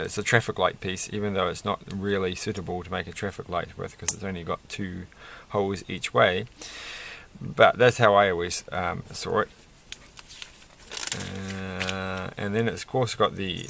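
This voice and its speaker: A middle-aged man talks in a low, croaky voice with a bright, forward edge. He rambles casually as he builds at a desk, pausing to hunt for words. Faint noise and small clicks sit behind him. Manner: rambling, halting, searching for words. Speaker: Lego build video creator. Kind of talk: casual hobby vlog, unscripted commentary while building. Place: indoors at a desk.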